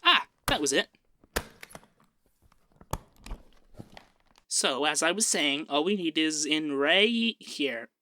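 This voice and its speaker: Man playing a bright-voiced peppy young femboy barrister. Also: fairly high pitch, animated, unhurried. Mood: peppy, flustered.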